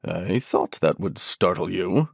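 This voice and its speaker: A deep, dryly recorded storybook voice of an odd mountain man, played by a woman. He is pompous yet hospitable, declaiming with big rises and falls in pitch. The manner is solemn and full of his own importance. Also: lively intonation, slow, vocal fry, husky, no echo, clean studio sound, exaggerated voice. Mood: solemn, self-satisfied. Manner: solemn, pompous, declamatory, sing-song in verse. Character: Bumpy Man, quirky hospitable self-important mountain hermit covered in bumps.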